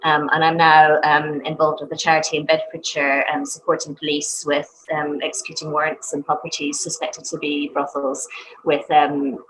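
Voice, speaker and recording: A woman's voice: deep voice, flat delivery, moderate pace, a bit bright. A woman volunteering with a charity, politely asking questions in an online discussion, with many "um" fillers. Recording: noisy background.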